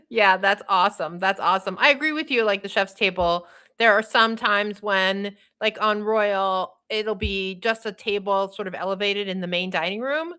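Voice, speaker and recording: A woman hosts a casual podcast conversation. She agrees readily and talks in loose, rambling sentences with a relaxed, conversational feel. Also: medium pitch, moderate pace, clear, resonant tone. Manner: agreeable, chatty, loose phrasing. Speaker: podcast host.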